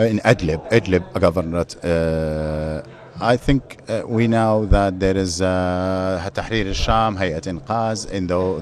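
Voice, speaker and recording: Very deep-voiced halting male moderator. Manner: halting, many fillers and restarts. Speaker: panel moderator. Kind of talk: moderating a panel discussion.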